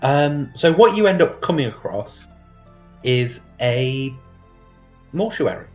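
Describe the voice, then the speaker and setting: A man narrating casually as game master, improvising and thinking aloud in a halting way. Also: medium pitch, sing-song, soft background music.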